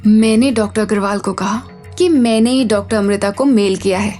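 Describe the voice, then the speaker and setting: A woman's voice: medium pitch, normal speed, warm tone, faint music behind, close to an everyday voice. A woman playing a smug, deceitful young medical colleague in an audio drama, self-assured and catty. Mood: gloating. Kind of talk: Hindi audio drama acting.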